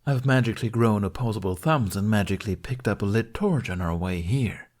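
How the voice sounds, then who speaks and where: A man's voice: lowish voice, brisk, a little mellow, lightly acted. A reluctant, sarcastic young man acted by a male narrator speaks in a dry, offhand way. The storybook delivery is modern and grudging. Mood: dry threat.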